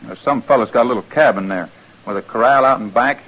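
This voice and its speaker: An actor playing a rough frontier drifter with a rural American accent, talking in a halting, folksy way in an old-time radio western. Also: male voice, mid-range voice, fairly bright tone, dull audio, close to an everyday voice. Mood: matter-of-fact.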